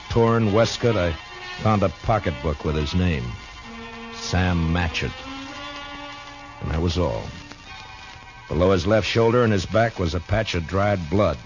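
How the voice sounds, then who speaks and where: An American actor playing a stern, steady frontier marshal, laconic and plain-spoken, in a 1950s radio western. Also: male voice, deep voice. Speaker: lead character and narrator. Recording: muffled old radio recording.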